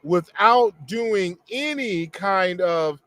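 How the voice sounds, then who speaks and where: Slow, measured man explaining, dry sound. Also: high-pitched, animated, a bit bright, no echo. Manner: measured, reads quotes aloud, restarts often. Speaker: guest lecturer on African languages. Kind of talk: explanatory live-stream talk.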